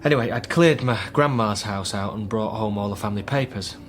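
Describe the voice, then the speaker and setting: A man's voice: fairly low pitch, a little fast, natural voice. An actor playing a worried, guarded British man in a naturalistic radio drama, speaking in an earnest, hesitant way. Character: worried, guarded divorced son caring for troubled father. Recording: faint music under radio drama.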